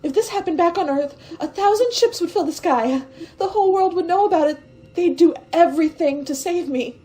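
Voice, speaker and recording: High, soft-toned frightened woman stowaway played by an actress. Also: moderate pace, soft background music. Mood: disbelieving, pleading. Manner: hesitant, tender, emotional. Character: frightened, wistful young woman stowaway facing death. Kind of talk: 1950s science-fiction radio drama acting.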